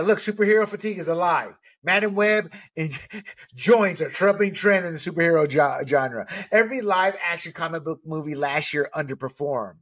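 A middle-aged American man speaks his opinions solo in a fairly high-pitched voice. His delivery is emphatic but halting, with key words drawn out. The recording is somewhat dull and lo-fi. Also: fairly bright tone. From United States. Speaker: movie commentator. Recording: dull, lo-fi sound.

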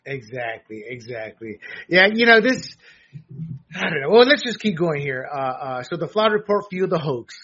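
A man's voice: on the high side, big pitch swings, moderate pace, pushed and bright, breathy edge, dull audio. A man hosting a political talk show, giving jokey, emphatic commentary and often repeating his words.

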